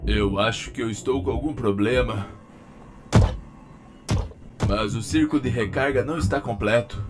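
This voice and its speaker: A man's voice: mid-range voice, moderate pace, very noisy, pitched below the performer's own voice, natural voice. A man with a Brazilian accent voicing a naive, polite robot bear entertainer in a cartoon fan dub, earnest and puzzled. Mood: puzzled, mild.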